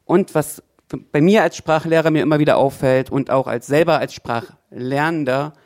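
A man's voice: on the high side, moderate pace, a little mellow. A man who works as a language teacher, explaining his view thoughtfully in a panel discussion.

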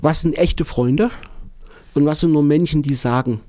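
A man's voice: fairly high pitch, mellow, dry recording, clean studio sound. A man from Germany living in Japan, a guest telling his stories in a conversational interview, often repeating words and tagging "ne". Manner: halting, repeats words, frequent "ne" tags.

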